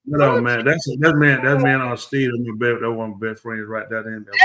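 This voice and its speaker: A man, co-hosting a live show and chatting casually with animated exclamations. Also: medium pitch, fairly quick, a crowd in the background. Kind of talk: casual chat.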